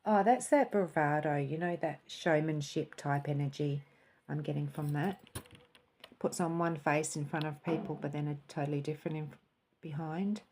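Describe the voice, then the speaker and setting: A woman giving a spiritual tarot and cup reading in a soft, musing way, sometimes dropping into a quiet, calm voice. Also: sits low, normal speed, slight background noise.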